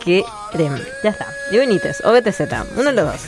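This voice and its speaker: A woman's voice: mid-range voice, big pitch swings, brisk, over music. A woman with a Paraguayan Spanish accent hosting a radio show, chatting playfully and reading listener messages. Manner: chatty and playful, laughs often. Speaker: radio host.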